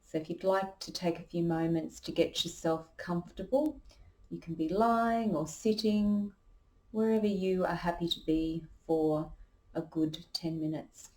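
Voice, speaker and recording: A woman leading a guided meditation as a yoga teacher, calm and unhurried, giving gentle instructions. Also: on the low side, low-quality recording.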